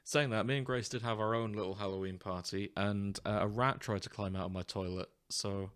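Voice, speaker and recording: Dry, lowish-voiced man bantering on a podcast. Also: steady tone, a little fast, a bit bright, clean tone. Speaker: podcast co-host. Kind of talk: casual chat. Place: living room, indoors. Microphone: podcast microphone.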